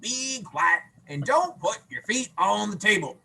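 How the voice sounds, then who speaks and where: A man's voice: high voice, big pitch swings, measured pace, gravelly, pushed and bright, husky, voice pushed up, full character voice. A man voicing an eager, forgetful young boy frog in a read-aloud storybook, with childlike, singsong exclamations.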